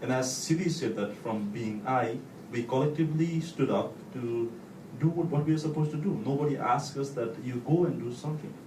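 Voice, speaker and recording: A man from Nepal with a Nepali accent, speaking personally to an audience in halting, deliberate phrases. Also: medium pitch. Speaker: guest speaker, volunteer. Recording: echoey room, distant mic, clear noise.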